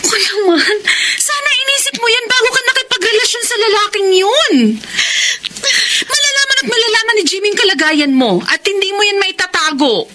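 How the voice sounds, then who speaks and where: An actress playing a frank, excitable young confidante in a radio drama, exclamatory and reproachful. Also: female voice, extremely high pitch, fairly quick, background noise. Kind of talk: melodramatic Tagalog radio drama acting.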